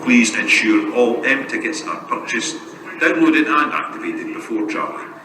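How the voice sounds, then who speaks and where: Male announcer, scripted public information, formal read, far from the mic with clear noise around him. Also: medium pitch, normal speed. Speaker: recorded station announcer. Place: railway station, heard over public address speakers. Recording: distant, echoey, noisy station tannoy. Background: station ambience and noise.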